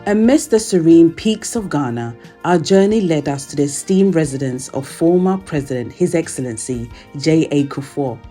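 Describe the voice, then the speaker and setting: A narrator reads a formal script in a measured, solemn way. The tone is dark and mellow. A soft bed of music plays underneath. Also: medium pitch, expressive. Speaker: documentary narrator.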